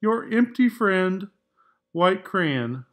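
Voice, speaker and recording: Man voicing a forlorn, high-pitched crayon. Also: very animated, very slow, heavily acted.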